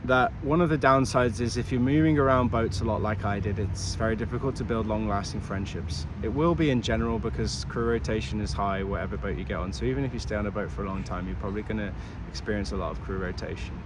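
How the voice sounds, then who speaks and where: A man in his thirties speaks candidly to camera in a lowish voice, pausing to rethink and restart his sentences as he goes. He is recorded outdoors, with clear traffic noise running behind him. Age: 30s. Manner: candid and reflective, with frequent restarts and self-corrections. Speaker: yacht crew member giving career advice. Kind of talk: casual talking-to-camera vlog.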